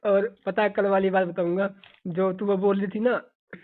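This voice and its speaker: A man's voice: high-pitched, level tone, normal speed, slight background noise. A young man chats casually over a phone call, bantering and arguing in a teasing way. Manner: bantering, argumentative. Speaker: younger-generation family member, brother on a call. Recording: tinny narrowband phone call.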